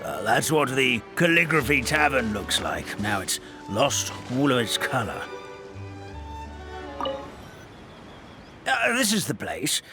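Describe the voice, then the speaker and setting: An actor playing an old, forgetful paper creature who acts as a gentle, fretful elder, rambling in a dramatic grandfatherly way. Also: mid-range voice, sing-song, moderate pace, over music. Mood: friendly. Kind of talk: video game dub acting.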